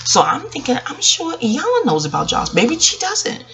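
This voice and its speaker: A woman's voice: sits high, wildly swooping pitch, moderate pace, creaky, pushed and bright, muffled recording. A woman from Queens telling a story casually to viewers, conversational, slipping into reported dialogue. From Queens, New York. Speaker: vlogger.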